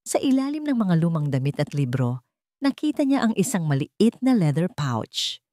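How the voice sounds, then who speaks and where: A woman's voice: lowish voice, very animated, normal speed, warm tone, no room sound, studio quality. A woman storyteller giving a dramatic, scripted narration of a love story.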